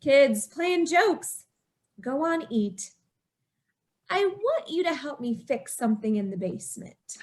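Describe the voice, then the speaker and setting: A woman's voice: high-pitched, sing-song, slow, a little mellow, heavily acted. A woman acting a distraught, secretive wife in an old-time radio horror play, telling her tale with dramatic, emphatic repetition. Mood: curt, evasive.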